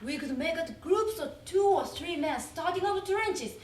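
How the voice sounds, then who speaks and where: High-voiced woman storyteller in a roomy space. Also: lively intonation, measured pace, a little mellow. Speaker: readers theater performer. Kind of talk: dramatic storytelling recitation of a letter. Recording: roomy echo, faint background noise.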